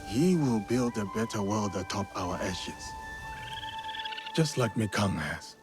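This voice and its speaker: Kindly warrior, game drama: low, grave, reflective, clear music behind. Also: male voice, animated, slow pace. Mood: solemn, fond. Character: solemn, kindly warrior ally in feudal Japan. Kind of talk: video game cutscene dramatic acting. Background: background music.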